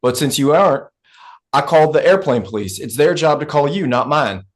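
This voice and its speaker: A man playing a gruff, sarcastic, profane oilfield fixer in a script read, talking in a blunt, folksy way. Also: a little high, animated, brisk. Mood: dry.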